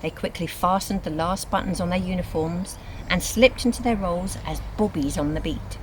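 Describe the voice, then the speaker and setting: A woman reads scripted storybook narration in an expressive, dramatic storytelling manner. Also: on the low side, normal speed, noisy background. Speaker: reader of a children's book.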